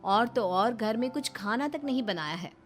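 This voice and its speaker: A woman's voice: on the high side, expressive, moderate pace. A woman reading scripted narration for a moral story, with music underneath.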